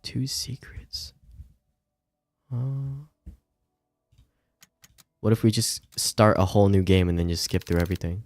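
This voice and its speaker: A low-pitched man speaks close and dry into his microphone over soft music. He is streaming a visual novel, casually talking to himself and reading the text aloud. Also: measured pace, pauses often, no room sound.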